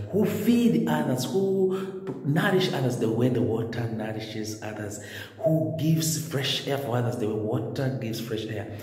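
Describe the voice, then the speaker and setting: A middle-aged male priest preaches with earnest, repeated phrases, filming himself on a phone held at arm's length. His voice is dark and mellow. The stone chapel around him rings with a long, echoing reverb. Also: mid-range voice. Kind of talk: video homily. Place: stone-walled chapel indoors.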